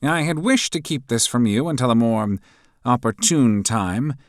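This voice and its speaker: A man voicing an excitable, flustered male professor who shouts his lines. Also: mid-range voice, a little slow, no room sound, clean studio sound, pitched above the performer's own voice, close to an everyday voice.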